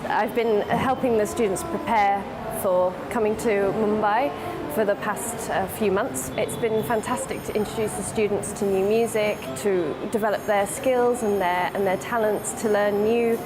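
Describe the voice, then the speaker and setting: A woman's voice: mid-range voice, fairly even intonation, normal speed. A woman from the UK, a music teacher, speaking with enthusiasm and a few hesitations in an interview. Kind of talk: documentary interview.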